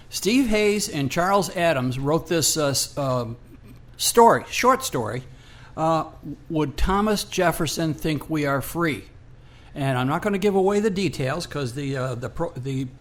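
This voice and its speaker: An American man co-hosting a radio show, explaining background in an unscripted, halting way. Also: fairly high pitch, a bit bright. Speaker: radio co-host.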